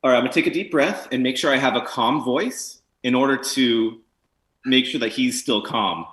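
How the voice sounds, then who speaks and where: A male team manager and spotter answering interview questions in a relaxed, rambling way. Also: mid-range voice, moderate pace, echoey room. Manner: relaxed, rambling, laughs easily. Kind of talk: interview on a video call.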